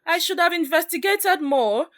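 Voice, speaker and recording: A woman's voice: extremely high pitch, expressive, normal speed, a bit bright. A woman playing an evasive, self-justifying young woman under accusation in a story drama. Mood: defensive.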